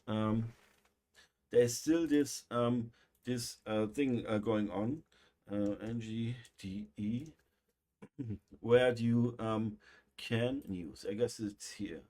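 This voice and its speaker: A man with a German accent, a native German speaker, hosting a livestream and chatting casually, with a halting delivery full of pauses and fillers. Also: medium pitch, fairly even intonation, mellow. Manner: halting, many fillers and restarts. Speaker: livestream host.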